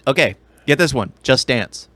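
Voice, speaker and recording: A man's voice: medium pitch, lively intonation, moderate pace, fairly bright tone, no echo. Opinionated man rambling in casual chat. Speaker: podcast co-host. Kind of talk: casual chat about video games and film.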